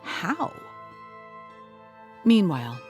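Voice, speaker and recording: A woman's voice: lowish voice, wildly swooping pitch, very slow, darkish tone, soft background music, heavily acted. A woman playing a dogged, earnest podcast host in a scripted mock documentary, with a dramatic delivery. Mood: earnest, intrigued.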